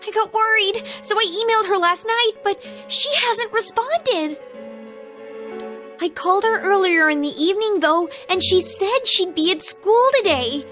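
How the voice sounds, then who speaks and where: Game schoolgirl: very high American voice, excitable and feisty, emphatic casual delivery, music and crowd behind. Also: measured pace. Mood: anxious. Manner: emphatic, expressive, informal. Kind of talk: English video game voice acting.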